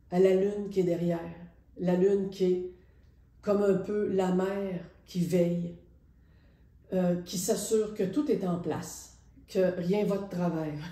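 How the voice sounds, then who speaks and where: A middle-aged woman with a Quebec French accent talks casually and reflectively about her painting, hesitating and pausing often. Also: medium pitch, dark tone. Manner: reflective, hesitant, with many pauses. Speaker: painter presenting her artwork. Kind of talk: casual spoken art presentation. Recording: roomy echo.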